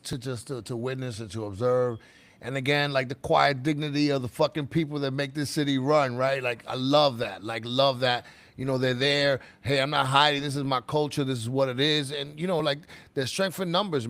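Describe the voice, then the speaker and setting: A man's voice: mid-range voice, a bit bright, dry recording. Emphatic casual man, dry close sound. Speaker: podcast co-host. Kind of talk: casual community talk show chat.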